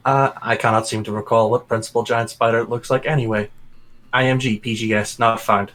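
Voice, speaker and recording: A man's voice: medium pitch, level tone, a little fast, fairly bright tone. A man joining a game stream, bantering casually in a dry, joking way. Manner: dry, joking, laughs often. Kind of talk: casual let's play banter.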